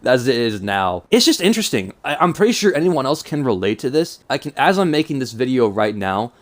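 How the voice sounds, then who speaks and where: A man's voice: mid-range voice, big pitch swings, fairly quick. A man of twenty-two with a mild cold and stuffed-up nose, talking casually and self-consciously in a hesitant, rambling vlog. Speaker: YouTuber.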